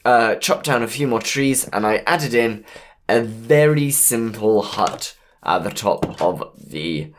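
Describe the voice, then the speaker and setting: Hoarse man, unhurried, thinking aloud, let's-play commentary. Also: lowish voice, a little hiss or hum. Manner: plans aloud, easygoing and punny. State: hoarse from a cold. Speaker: gameplay commentator.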